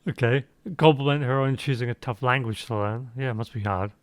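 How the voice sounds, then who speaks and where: A man's voice: medium pitch, brisk, no room sound. A man reads the game's choices aloud and adds sarcastic, mocking remarks. His voice sits in a middle range. The sound is dry and close, with no room around it. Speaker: let's play commentator. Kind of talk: reading game choices aloud with sarcastic asides.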